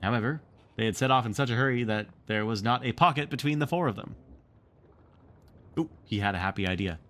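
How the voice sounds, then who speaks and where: A man narrating a storybook read-along with expressive, theatrical storytelling. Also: medium pitch, brisk. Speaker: streamer reading a book aloud.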